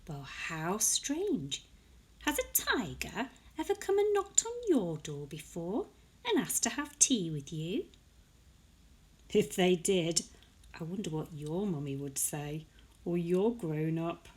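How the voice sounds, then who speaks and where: A woman reads a children's storybook aloud in a slow, breathy voice. She is expressive, moving widely in pitch, and speaks directly to young listeners with questioning asides. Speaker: teacher reading a picture book aloud.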